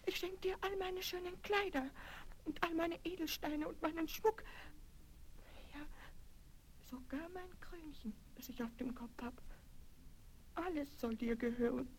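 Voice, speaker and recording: A woman's voice: extremely high pitch, a little slow, long pauses, slight background noise. A woman playing a vain young princess in a children's fairy-tale audio play, whiny and pleading in a girlish way. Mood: eager pleading. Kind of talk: 1970s children's radio play acting.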